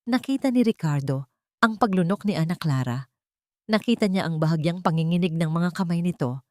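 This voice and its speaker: A woman with a Filipino accent reading a dramatic story as an audiobook narrator, solemn and expressive with deliberate pauses. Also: fairly low pitch, no room sound, studio quality.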